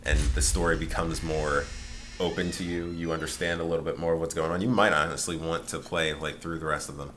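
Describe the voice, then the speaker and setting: A man's voice: extremely low pitch, steady tone, fairly quick, a bit bright, slight background noise. A man giving casual gameplay commentary as a co-host, explaining things in a loose, occasionally sweary way. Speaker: gaming channel co-host. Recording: game music behind the voice.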